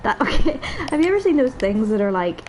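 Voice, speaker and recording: A woman's voice: fairly high pitch, big pitch swings, fast, a little hiss or hum. Chatty woman gamer with slightly stuffy nose. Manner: chatty, rambling, self-deprecating. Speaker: gaming video host. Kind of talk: casual let's play commentary.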